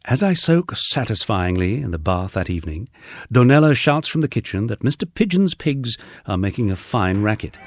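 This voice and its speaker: A man's voice: on the low side, sing-song, normal speed, no room sound. A man reading a memoir as an audiobook narrator, with a wry storytelling delivery.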